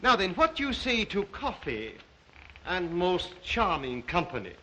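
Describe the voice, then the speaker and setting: Sinister aristocratic count, Russian accent, courtly, theatrical, bright voice, animated melody. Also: male voice, high-pitched, a little slow, a little hiss or hum, heavily acted, low-quality recording. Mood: smooth, ingratiating. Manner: courtly, formal, ingratiating, theatrical.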